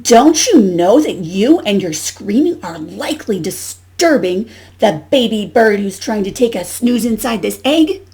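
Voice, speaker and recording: A woman voicing a huffy, indignant storybook duck in an expressive, emphatic read-aloud. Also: mid-range voice, a little slow. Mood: forceful whisper.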